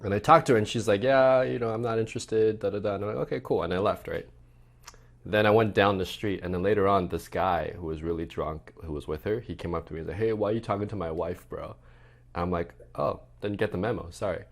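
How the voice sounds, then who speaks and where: A man with a low voice hosts a podcast in a relaxed, chatty way. He asks curious questions and slips into long personal stories. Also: quick pace, gravelly. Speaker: podcast host. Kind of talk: casual interview and storytelling.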